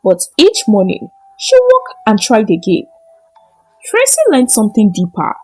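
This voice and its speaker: A woman narrating a scripted moral folktale in short, deliberate, dramatic phrases. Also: mid-range voice, wildly swooping pitch, darkish tone, slightly rough, faint music behind. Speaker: storyteller.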